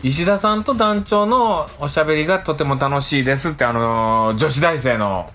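A man co-hosting a radio show, chatting casually and colloquially, quick to agree and react. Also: fairly high pitch, a bit bright, soft background music.

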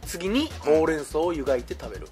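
A man's voice: on the high side, big pitch swings, fast, a little mellow, soft background music. A man co-hosting a comedic podcast, speaking politely with playful mock-serious explanations. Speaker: podcast co-host and comedy writer. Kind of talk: casual comedic banter.

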